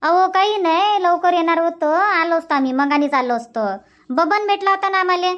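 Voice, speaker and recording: A woman's voice: extremely high pitch, normal speed, fairly bright tone, muffled recording. A woman voicing chatty, sociable village women in a cartoon comedy, speaking colloquial Vidarbha Marathi with repeated phrases. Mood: animated gossip. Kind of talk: cartoon comedy dubbing in rural dialect.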